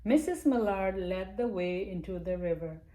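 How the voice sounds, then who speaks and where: A woman reads a children's picture book aloud in an unhurried, storytime manner, pausing now and then to address young listeners. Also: medium pitch, lively intonation, warm tone. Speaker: library storytime reader.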